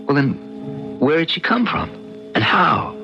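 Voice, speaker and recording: A man's voice: on the high side, huge pitch swings, a little slow, vocal fry, bright, forceful tone, full character voice. An American actor playing an earnest, guarded middle-aged doctor and worried father in a 1970s radio drama. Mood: puzzled, brooding. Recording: dull vintage radio recording, music behind.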